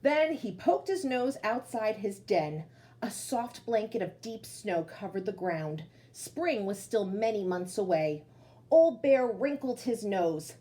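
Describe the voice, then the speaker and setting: A woman storyteller reading aloud to children, expressive, with chatty asides that speak to them directly. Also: mid-range voice, slow pace.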